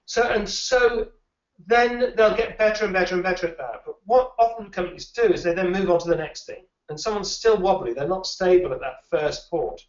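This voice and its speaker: A man's voice: high voice, brisk. A man who trains recruiters answers interview questions in a thoughtful way, often repeating words as he thinks. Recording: dull, roomy, a little distant.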